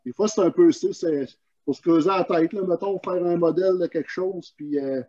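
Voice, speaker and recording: A Quebec French host asks halting questions over a video call, full of fillers. His voice is mellow and dark yet a bit high, and the audio comes through muffled and lo-fi. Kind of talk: casual interview on video call.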